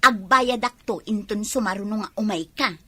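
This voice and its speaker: Woman acting bright, brazen Ilocano freeloader. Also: mid-range voice, moderate pace, breathy edge. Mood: wheedling. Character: brazen, evasive female neighbour who buys on credit. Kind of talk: radio comedy drama acting.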